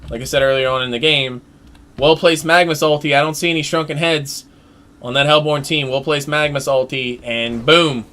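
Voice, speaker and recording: A man giving live play-by-play commentary on an online team game, excitable and chatty, his voice jumping up during fights. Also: fairly high pitch, moderate pace. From United States. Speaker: esports play-by-play caster.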